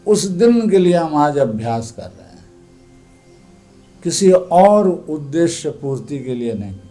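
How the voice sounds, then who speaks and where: A man leads a guided meditation as a teacher, speaking in a calm, deliberate, instructive manner. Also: fairly high pitch, faint music behind.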